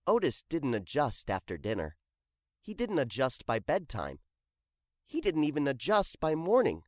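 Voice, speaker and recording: A woman reading a children's book aloud in an expressive storytelling style. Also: low voice, normal speed, dry recording, studio quality.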